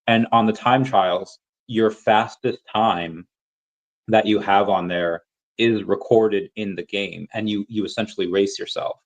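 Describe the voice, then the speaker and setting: A man's voice: fairly low pitch, steady tone, a little slow, highs cut off. A man hosting a podcast in casual chat, telling long, rambling stories in a loose, conversational way. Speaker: podcast host.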